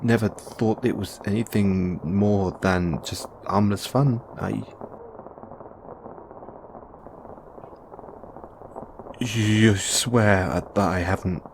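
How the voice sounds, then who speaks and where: A man's voice: low voice, a little hiss or hum, rough recording. A man playing a kindly middle-aged father in an audio roleplay, nervous, awkward and stammering. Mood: nervous, concerned.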